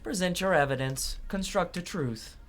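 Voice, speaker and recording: A man's voice: high voice, normal speed, voice pushed up, lightly acted. A man voicing a solemn, grandiose video game judge in a pompous, declamatory manner. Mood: grand, solemn. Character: solemn, grandiose judge pronouncing truth and justice.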